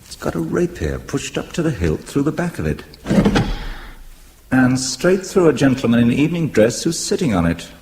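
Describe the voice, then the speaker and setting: An actor playing a resourceful, wry British husband turned amateur sleuth in a radio drama, speaking in a dry, clipped way. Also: male voice, mid-range voice, very animated, normal speed, background noise. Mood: tense, urgent.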